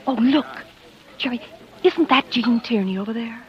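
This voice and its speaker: An actress playing an anxious, devoted young wife turned coy amateur sleuth, speaking haltingly in 1940s radio style. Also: female voice, a little high, natural voice. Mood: girlish, admiring. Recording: muffled vintage radio recording with music.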